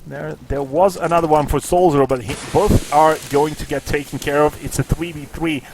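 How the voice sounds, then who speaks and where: A man casting a live esports match, excitable at big plays, with casual, jokey asides. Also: moderate pace, background noise. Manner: excitable, rises in pitch and volume at big plays. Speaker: esports caster. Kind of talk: live play-by-play commentary.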